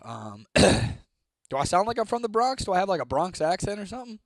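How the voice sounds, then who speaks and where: A man's voice: sits high, very animated, moderate pace, no room sound. Casual swearing streamer guy. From Toledo, Ohio, United States. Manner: laid-back, swears freely, laughs often. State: voice getting hoarse. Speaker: livestreamer. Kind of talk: casual live-stream chat reading comments.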